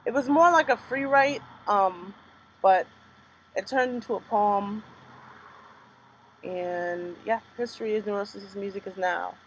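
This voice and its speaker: A young woman performing her own spoken word poems in a rhythmic, measured delivery, with casual chatty asides between pieces. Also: mid-range voice, a little hiss or hum, low-quality recording. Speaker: poet performing her work. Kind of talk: spoken word poetry reading.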